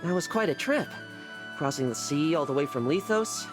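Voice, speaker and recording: A man voicing a bouncy, lively young prince. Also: on the high side, moderate pace, music in the background, natural voice. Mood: cheerful, friendly. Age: young adult. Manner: chatty, polite, upbeat. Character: friendly, buoyant, kindhearted young prince. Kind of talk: scripted video game voice acting.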